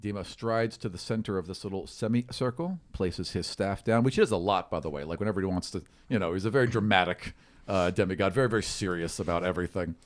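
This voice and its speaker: A man's voice: low voice, fast talker. A man improvising tabletop game narration as game master, with theatrical emphasis and conversational asides.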